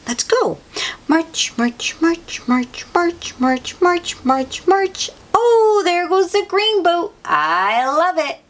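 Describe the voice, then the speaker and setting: A woman hosts a read-aloud for small children. Her delivery is very slow, with a wide, lively swing in pitch. She speaks in a sing-song, encouraging way, as if talking straight to young listeners.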